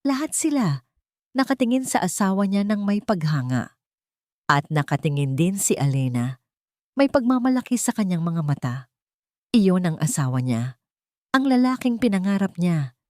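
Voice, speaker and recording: A woman narrating a dramatic love story in a measured, solemn way. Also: fairly low pitch, big pitch swings, darkish tone, no echo, studio quality.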